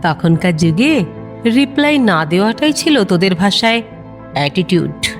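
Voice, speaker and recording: An actress playing an affectionate, mischievous grandmother in a Bengali audio drama, teasing and wry in an unhurried way. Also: medium pitch, very animated, faint music behind. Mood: amused, nostalgic.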